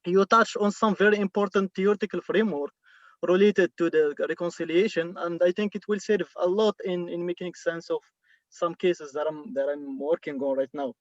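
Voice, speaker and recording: A man from Morocco, a doctoral student, asking a question over a video call from his car, halting and repeating words. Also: sits high, fairly even intonation, dry recording, dull audio. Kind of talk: online Q&A question. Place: inside a car.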